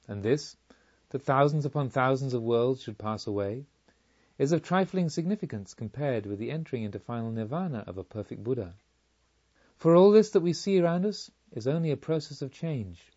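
A man voicing a serene, wise woman disciple of the Buddha in a calm, solemn, teaching manner for an audiobook. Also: medium pitch, dull audio, natural voice.

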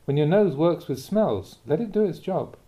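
Very mellow, dark-voiced man reading Buddhist teachings. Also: on the high side, lively intonation. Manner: measured, deliberate, instructive. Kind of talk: scripted reading of a dharma talk.

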